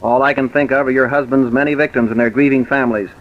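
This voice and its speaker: A man's voice: mid-range voice, steady tone, lightly acted. Man acting stern, authoritative police commissioner. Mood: grave, firm. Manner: authoritative, deliberate. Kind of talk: old-time radio drama acting. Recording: dull, hissy vintage radio recording.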